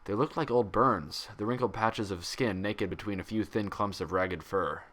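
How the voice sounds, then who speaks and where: A man reading fan fiction aloud as narrator, with dramatic, expressive scripted delivery. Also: lowish voice, moderate pace.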